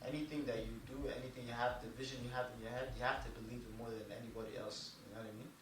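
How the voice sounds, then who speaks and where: A man's voice: mid-range voice, fairly even intonation, rapid-fire, recorded from a distance. A man hosting a casual podcast interview, slangy, often repeating and stacking his phrases. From Canada, with relatives in Sweden. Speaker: podcast host. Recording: echoey room, off-mic, clear background noise.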